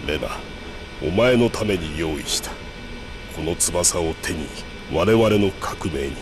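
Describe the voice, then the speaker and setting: An actor playing a calm, sinister, fatherly revolutionary mastermind in an anime game, speaking slowly and solemnly. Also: low-pitched, noisy background. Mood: solemn, coaxing. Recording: echoing game cutscene audio with background music.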